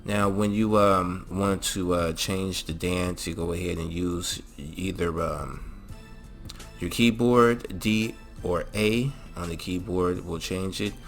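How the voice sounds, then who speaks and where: A man's voice: low-pitched, takes it slowly, faint music behind. A man hosting a gaming channel, walking through a mod tutorial in a casual way with lots of fillers and a repeated "all right?".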